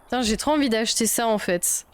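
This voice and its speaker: A woman's voice: fairly high pitch, fast, clean tone, no room sound. A young woman from Belgium chatting casually while she plays a video game, thinking aloud and swearing often. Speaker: streamer playing a video game. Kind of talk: casual let's-play chat. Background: faint game music.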